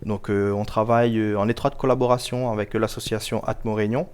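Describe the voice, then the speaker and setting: Male interview guest, lowish pitch, smooth clear tone, formal explanatory delivery, measured, careful word choice, frequent pauses. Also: fairly even intonation, a little mellow. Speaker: guest, local government project officer.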